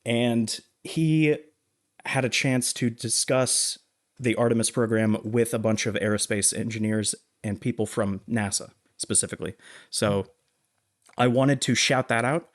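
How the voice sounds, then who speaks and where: A man co-hosting a casual film discussion, explaining things in a halting, measured way. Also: medium pitch. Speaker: podcast co-host.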